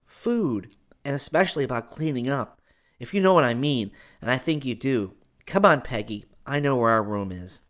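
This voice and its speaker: A man voicing a lively, affectionate young woman in an audiobook, chatty and eager, taking charge of her friends. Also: mid-range voice, moderate pace, voice pushed up, close to an everyday voice. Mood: enthusiastic. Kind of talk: audiobook character dialogue.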